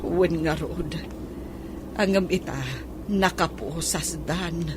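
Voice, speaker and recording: A woman playing an elderly, injured village aunt with a Filipino accent and a husky voice, in an Ilocano radio drama. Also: on the low side, fairly even intonation, slow, noisy background. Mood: aggrieved.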